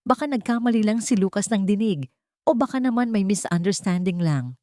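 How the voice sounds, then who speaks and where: A woman's voice: medium pitch, expressive, fairly quick, dark tone, no room sound, pitched above the performer's own voice, natural voice. Woman voicing a disbelieving Filipino family man. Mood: uneasy, disbelieving. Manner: questioning, insistent. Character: trusting, disbelieving husband and father. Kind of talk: dramatic audio storytelling.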